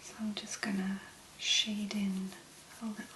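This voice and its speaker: A woman speaking softly and breathily in an ASMR make-up tutorial, gently musing in a hesitant, unhurried way. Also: mid-range voice, flat delivery, echoey room, slight background noise. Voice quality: soft, breathy, mostly whispered. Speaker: ASMR content creator, make-up demonstrator.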